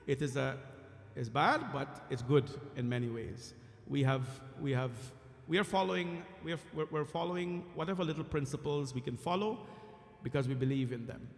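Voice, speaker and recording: A man's voice: mid-range voice, gravelly. Earnest man preaching in echoey hall. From Guyana. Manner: earnest, emphatic, pausing. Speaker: temple priest. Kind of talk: devotional sermon. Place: temple hall.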